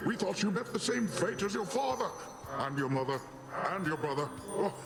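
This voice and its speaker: An actor playing a grand, theatrical sea god uncle in a video game, declamatory, exuberant and hungry for revenge. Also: male voice, sits high, animated, moderate pace, gravelly. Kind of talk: video game character dialogue. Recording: loud ambient noise behind the voice.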